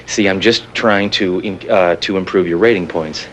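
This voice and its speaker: An actor playing a goading, sarcastic scientist turned terrorist in a TV drama, talking in halting, repetitive bursts, on 1980s broadcast audio. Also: male voice, sits low, close to an everyday voice, rough recording. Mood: goading, agitated. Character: intense, taunting male scientist turned terrorist, doctor. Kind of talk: naturalistic TV drama acting.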